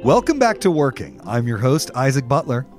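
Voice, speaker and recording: A man co-hosting a podcast in a casual, effusive, excitable way. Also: mid-range voice, moderate pace, loud music behind the voice. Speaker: podcast co-host.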